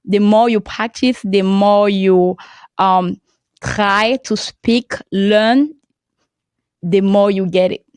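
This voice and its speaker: A young woman from Cameroon, a French speaker with a Cameroonian accent, talking as a podcast guest in a halting way and laughing often. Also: mid-range voice, steady tone, darkish tone, clean tone, no echo. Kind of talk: casual interview talk.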